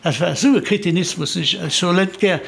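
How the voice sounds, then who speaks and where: A man's voice: high-pitched, fairly quick, creaky, breathy edge. An older man with a Luxembourgish accent, chatting casually as an interview guest, rambling through memories and slipping French words into his talk. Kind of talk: casual reminiscing conversation.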